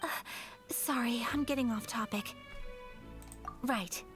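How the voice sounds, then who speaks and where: A woman's voice: a little high, takes it slowly, a bit bright. A woman playing a gracious, modest young noblewoman in a video game, speaking formally and politely. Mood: modest, earnest. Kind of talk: video game character acting. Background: game music.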